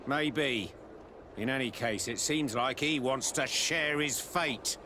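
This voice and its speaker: An actor playing a surly, threatening street thug in a video game. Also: male voice, medium pitch, slow, noisy background, close to an everyday voice. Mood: menacing. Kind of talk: video game cutscene acting.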